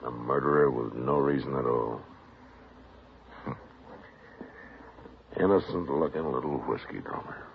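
An actor playing a grim frontier lawman in a 1950s radio drama, speaking slowly and gravely. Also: extremely low pitch, full character voice. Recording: tinny old radio broadcast with faint hiss.

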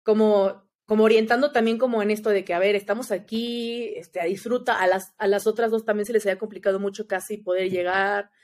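A young woman with a Mexican accent, telling a personal story as an interview guest in a chatty, animated way, often tagging lines with "you know?". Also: medium pitch, brisk, few pauses.

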